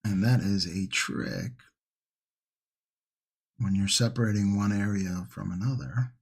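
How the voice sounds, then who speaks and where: Male hobby streamer, slow and husky, casual rambling delivery to an online chat, musing as he works. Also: lowish voice, fairly bright tone. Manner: unhurried, rambling, musing to himself. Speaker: livestream host, miniature painting hobbyist. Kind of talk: casual chat to livestream viewers while painting.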